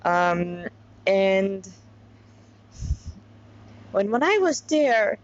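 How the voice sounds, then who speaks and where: Slow-paced woman, dull lo-fi recording. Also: medium pitch, sing-song. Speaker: fan fiction author and reader. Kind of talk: casual chat and informal story reading.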